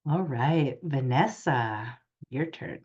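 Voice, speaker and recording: Lively-pitched woman moderator, slightly muffled. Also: slow pace, breathy edge. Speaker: panel moderator. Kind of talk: casual hosting of an online panel. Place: online video call.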